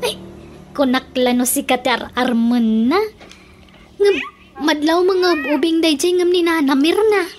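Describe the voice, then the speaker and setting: A woman's voice: high-pitched, measured pace, slight background noise. A woman with an Ilocano accent playing a nosy, quarrelsome middle-aged villager in a comedic radio drama, teasing and bickering animatedly. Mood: curious, gossipy.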